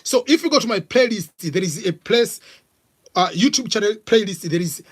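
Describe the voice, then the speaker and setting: A middle-aged man from Tanzania with a Tanzanian accent, hosting a live vlog and answering viewer questions in a casual, halting way full of fillers. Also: high voice, expressive, husky. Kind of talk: live Q&A chat.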